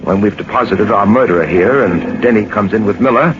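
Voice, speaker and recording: An actor playing a suave, commanding gentleman detective in a 1940s radio drama, speaking with assured authority. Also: fairly low pitch, fairly quick, lightly acted. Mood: calm, explanatory. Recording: noisy, dull 1940s radio recording.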